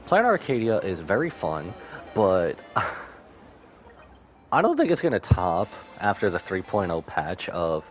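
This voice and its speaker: A man giving casual live commentary as a game streamer, rambling and animated, with jokey asides. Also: sits low, slow, soft background music.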